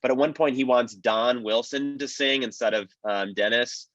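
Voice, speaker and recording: A man's voice: medium pitch, moderate pace, a bit bright, no room sound. Man explaining history, slightly muffled. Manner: analytical, explanatory. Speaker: panelist, old-time radio historian. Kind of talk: informal panel commentary.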